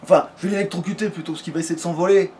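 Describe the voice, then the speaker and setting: A man's voice: sits high, fairly even intonation, racing, a little mellow, distant mic. A man chatting casually through a video game let's play, grumbling and swearing in crude slang. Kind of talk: casual gameplay chat.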